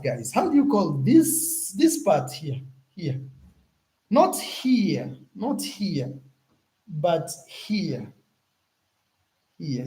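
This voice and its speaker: Animated Kenyan man vlogging to camera. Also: on the high side. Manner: animated, searching for words, repeats himself. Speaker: YouTuber. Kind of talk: casual vlog talk to camera.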